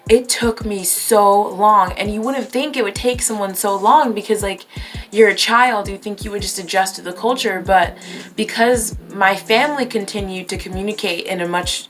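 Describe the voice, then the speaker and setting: Young woman YouTuber, about twenty, rambling Q&A chat, mid-pitched, faint music under her. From New York, raised in California. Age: 20s. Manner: chatty, rambling, self-correcting with fillers. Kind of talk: casual Q&A vlog.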